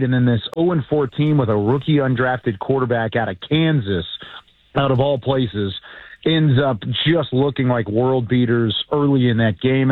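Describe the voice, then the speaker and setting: A man with a big booming announcer's voice, calling in to break down a football game. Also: no room sound. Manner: booming announcer voice, talks in long runs. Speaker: guest, former team broadcaster. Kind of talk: casual sports analysis on a call. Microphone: phone call. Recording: tinny phone line.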